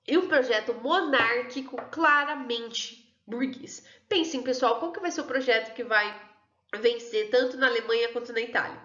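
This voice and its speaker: A woman's voice: fairly high pitch, a bit bright. A woman teaching an online history class in a chatty way, often checking in with her students. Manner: chatty, keeps checking in with "tudo bem?" and "né, pessoal?". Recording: echoey room, dull lo-fi sound.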